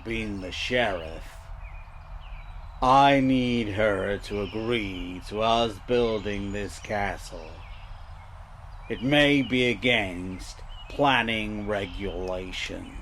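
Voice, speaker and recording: An actor playing a scheming small-town entrepreneur in a comedy audio drama, measured and persuasive, hedging as he goes. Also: fairly low pitch, slight background noise.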